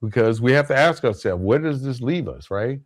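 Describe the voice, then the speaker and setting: Man, medium pitch, podcast host, motivational monologue, emphatic, deliberate phrasing, rhetorical questions. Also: lively intonation.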